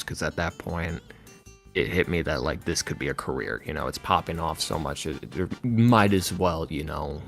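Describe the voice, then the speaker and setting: A man being interviewed on a casual podcast as a guest, speaking in a halting, reflective, self-deprecating way. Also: sits low. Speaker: interview guest, ASMR roleplay creator.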